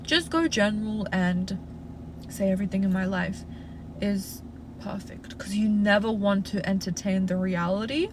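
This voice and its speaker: A woman's voice: medium pitch, moderate pace. Australian woman chatting in a noisy car. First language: Russian. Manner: chatty, frequent "like" fillers, reassuring advice-giver. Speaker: livestream host answering viewer questions. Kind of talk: casual live Q&A. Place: inside a parked car.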